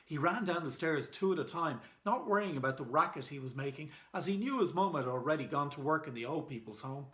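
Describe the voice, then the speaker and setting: A man's voice: fairly high pitch, expressive, brisk, a bit bright. An Irish man reading aloud in a children's storytime style, as an author and illustrator. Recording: echoey room.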